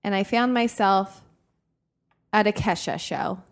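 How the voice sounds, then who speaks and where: A woman's voice: medium pitch, a little slow. A young woman co-hosting a podcast, telling personal stories in a slangy, self-deprecating way and acting out quoted remarks. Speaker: podcast co-host. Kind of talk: casual chat, telling personal anecdotes.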